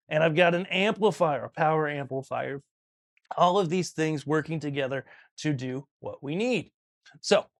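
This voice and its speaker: A man's voice: on the high side, no echo. A man presenting a practical tutorial in a casual, step-by-step way, often checking in as he explains, recorded in a small studio. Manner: casual, instructional, frequent "okay" check-ins. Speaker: audio instructor and video host.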